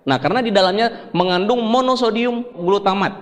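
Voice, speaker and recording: A man's voice: high-pitched, brisk. A man from Sulawesi, Indonesia, giving a health seminar in an explanatory, conversational way, putting questions to his listeners. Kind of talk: presentation to an audience. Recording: echoey hall with long reverb.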